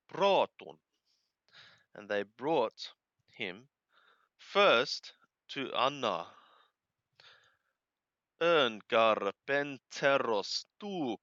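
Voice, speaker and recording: A man reading scripture aloud and translating it phrase by phrase, in a deliberate, halting way with careful enunciation. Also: mid-range voice, bright, forceful tone, smooth, dry recording, muffled recording. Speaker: Bible reader and translator.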